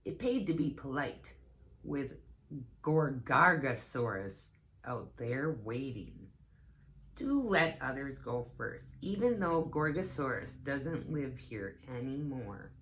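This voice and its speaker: Low-pitched woman reading a children's story, unhurried. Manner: expressive, deliberate phrasing addressed to young children. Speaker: teaching assistant reading a picture book aloud. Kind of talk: children's story time read-aloud with asides.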